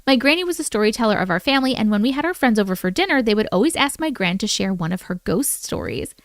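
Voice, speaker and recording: A woman with a fairly high voice reads listener stories as a live stream host. Her delivery is informal and animated, breaking off for chatty asides and reactions. The sound is dry and close, with little room. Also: quick pace, no room sound. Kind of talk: casual reading aloud with chatty asides.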